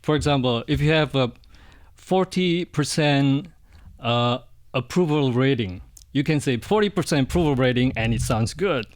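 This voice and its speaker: A middle-aged man from Indonesia, a Buddhist monk, answering interview questions in a hesitant, soft way with frequent restarts. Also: medium pitch, normal speed.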